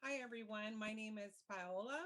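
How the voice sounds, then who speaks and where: A woman with a mid-range voice speaks on a discussion panel. She talks in a measured way and explains things carefully. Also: medium pitch, fairly bright tone.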